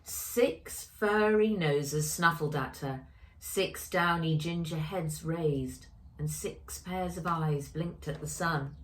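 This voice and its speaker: A woman author reading her children's story aloud in an expressive storytelling style. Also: low-pitched, slow pace.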